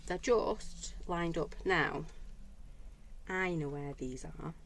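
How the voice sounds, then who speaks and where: A woman hosting a live craft stream, showing handmade cards and greeting viewers by name in a chatty, enthusiastic way, her voice a little croaky. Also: deep voice, slow pace, slight background noise. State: slightly croaky voice. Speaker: craft stamping demo host.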